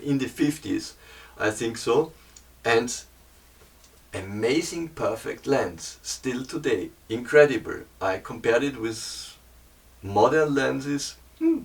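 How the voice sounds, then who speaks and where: A man with a German accent, a German native speaker, casually explaining his photography in hesitant English, pausing often to find words. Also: medium pitch, creaky, distant mic.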